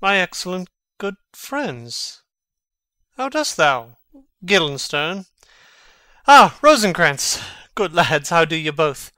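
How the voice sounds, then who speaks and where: A man's voice: on the high side, big pitch swings, slow pace, bright, forceful tone, no room sound, exaggerated voice. A man playing a mocking, brooding prince who feigns madness, theatrical and sardonic in a Shakespearean dramatic reading. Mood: jovial.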